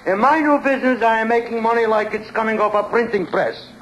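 A breezy, crooked Russian schemer in a very high voice with a Russian accent. He states absurd things with total self-assurance. It is 1940s radio comedy on a noisy old recording. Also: quick pace, a bit bright. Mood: breezy, boastful.

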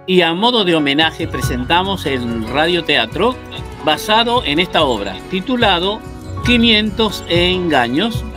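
A middle-aged man presenting a radio program in a formal, announcing style. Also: high voice.

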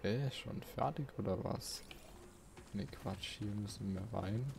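A man's voice: fairly low pitch, slow pace, creaky, noisy background. A man giving casual let's play commentary, thinking aloud and muttering questions to himself.

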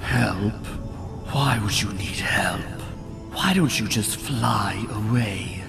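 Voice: mid-range voice, takes it slowly, vocal fry. A performer voicing a sinister storm cloud monster in a comic dub, gloating over sadness in a menacing, taunting half-whisper. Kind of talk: comic dub villain acting. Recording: voice over background music.

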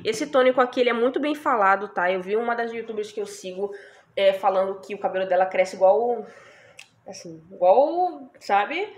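A woman's voice: mid-range voice, lively intonation, normal speed. Young woman rambling about her shopping haul, chatty, keeps going "right?", camera mic at a table. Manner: chatty, tags lines with "tá?" and "né?". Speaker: beauty vlogger. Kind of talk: casual product haul chat.

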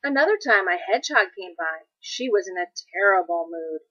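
A woman reading a children's story aloud as expressive scripted narration. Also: mid-range voice, brisk, dry recording, dull audio. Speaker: storybook reader.

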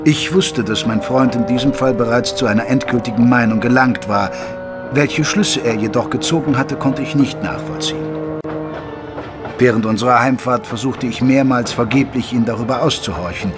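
An actor playing a loyal gentleman doctor who narrates a detective story in a measured, literary way. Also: medium pitch, over music, lightly acted. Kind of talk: scripted audio drama narration.

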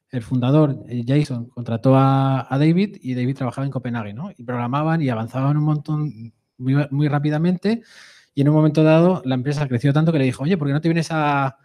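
Madrid-accented man giving a mellow talk. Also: mid-range voice. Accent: Madrid Spanish. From Madrid, Spain. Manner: conversational, often adds a questioning "¿no?". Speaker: conference speaker. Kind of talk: live presentation talk.

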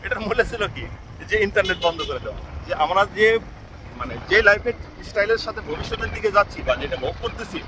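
A man in his thirties speaks quickly into a phone camera outdoors, thinking aloud in a rambling way and restarting his sentences. His voice sits high for a man, and clear traffic noise runs under the whole recording. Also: expressive. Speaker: vlogger. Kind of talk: casual reflective monologue. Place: outdoors on a village road. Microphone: phone selfie camera at arm's length.

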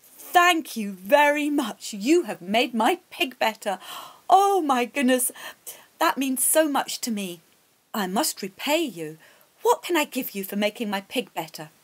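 A woman storyteller voicing a kindly village mother who speaks eagerly, pleading and flustered, in a higher voice. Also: sing-song, measured pace. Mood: grateful.

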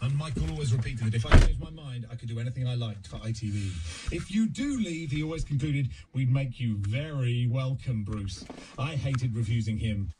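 Male memoir narrator, measured, noisy. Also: mid-range voice, lively intonation, mellow.

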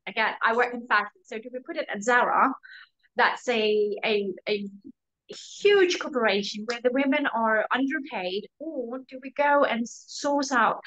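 A woman's voice: fairly high pitch, bright, forceful tone. A young woman panelist on a video call from a room at home, speaking earnestly and emphatically. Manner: earnest, emphatic, quick asides. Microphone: video call laptop mic. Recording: dull lo-fi call audio.

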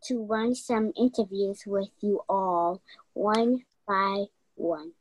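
A woman playing a cool, condescending investigator in a mystery radio play, deliberate and measured. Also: medium pitch, rough recording. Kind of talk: stage-style mystery radio play acting.

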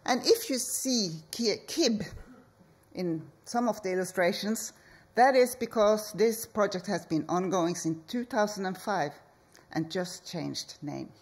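A woman architect, giving a conference presentation from a stage podium in slow, measured phrases with deliberate pauses. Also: mid-range voice. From Norway. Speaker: architect and project leader.

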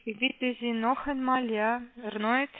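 A woman's voice: fairly high pitch, steady tone, unhurried. A woman call center agent, not a German speaker, asking hesitant, repetitive, polite questions in a phone sales conversation. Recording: muffled, narrow telephone line.

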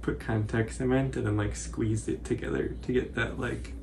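A man in his twenties giving a casual, informal tutorial commentary in a halting, hesitant way. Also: mid-range voice, fairly even intonation, gravelly, background noise. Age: 20s. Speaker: cosplay tutorial presenter.

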